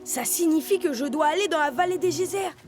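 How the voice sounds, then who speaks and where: A woman's voice: sits high, brisk, darkish tone, close to an everyday voice. A woman voicing a brave, stubborn young male leopard cub in a children's cartoon dub, talking in an earnest, determined way. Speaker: dubbing voice actor. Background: music.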